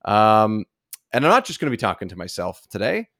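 A man hosting a podcast in casual chat, with a jokey, animated way of reacting. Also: lowish voice, fairly quick, no echo, studio quality. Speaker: podcast host.

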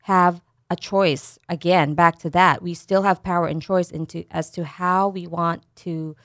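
A woman co-hosting a casual talk show, speaking calmly as a therapist, often affirming her partner. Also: on the low side, measured pace, dry recording, clean studio sound. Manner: calm, affirming, frequent agreement. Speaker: co-host, therapist. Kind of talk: casual chat.

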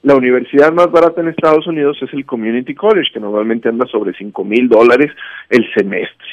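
A man with a Mexican accent speaks in a mid-pitched voice, recorded with a slightly dull, lo-fi radio sound. He explains things like a guest commentator, conversational but didactic, leaning on rhetorical questions and emphatic points. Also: brisk. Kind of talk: conversational motivational talk with anecdotes.

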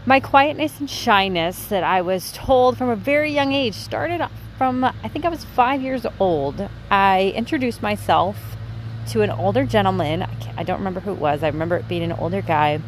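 A woman in her twenties hosting her own podcast, talking in a candid, chatty way in a soft, quiet voice. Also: mid-range voice, expressive, normal speed, a little hiss or hum, low-quality recording. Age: 20s. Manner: chatty and candid, with light swearing. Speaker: podcast host. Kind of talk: casual solo podcast monologue.

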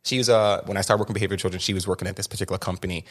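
A man's voice: low voice, fairly even intonation, very fast. A young man hosting a podcast, telling his story in a candid, emphatic way and often restarting and repeating words. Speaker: podcast host.

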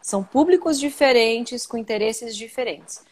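A Brazilian woman being interviewed on a live video call, telling stories in an animated, outspoken way. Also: on the high side, normal speed. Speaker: guest, coffee journalist and podcaster. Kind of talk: casual interview chat.

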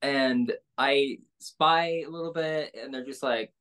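A woman singer with a low speaking voice, talking candidly and loosely with many fillers in an interview. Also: slow pace, fairly bright tone, smooth. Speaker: singer-songwriter guest. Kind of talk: casual interview conversation.

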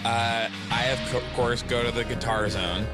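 A man in loose, improvised comic banter, speaking haltingly and repeating his words as he goes. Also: mid-range voice, fairly even intonation, over loud music.

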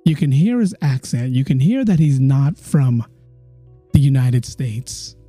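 Dark-voiced chatty man hosting a podcast. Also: mid-range voice, measured pace, dry recording, soft background music. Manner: chatty, repeats phrases for emphasis. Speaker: podcast host. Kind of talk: casual podcast commentary.

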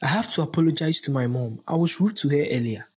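A man's voice: fairly high pitch, expressive, fast talker, husky. A man acting an easygoing young boyfriend in an audio play, with a Ghanaian accent. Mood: affectionate. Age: young adult. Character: easygoing, teasing young boyfriend, devoted to his mother. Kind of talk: dramatic dialogue in an audio play.

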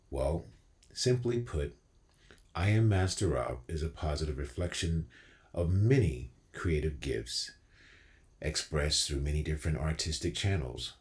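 A man giving an inspirational address as a featured storyteller, with deliberate, earnest phrasing. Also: a bass voice. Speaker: featured storyteller and motivational speaker.

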